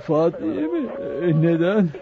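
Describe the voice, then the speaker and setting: A man's voice: sits high, big pitch swings, unhurried, crowd noise. An actor playing a distraught father, pleading and agitated, in a radio drama. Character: distraught father of a murdered daughter. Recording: muffled radio drama, voices behind.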